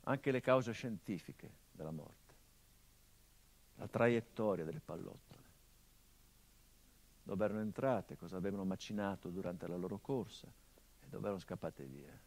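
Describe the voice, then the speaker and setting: A bitter, haunted grieving son. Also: male voice, moderate pace, pauses often. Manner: bitter irony, shifting from loud outbursts to low hushed tones. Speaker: stage actor. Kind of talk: theatrical monologue.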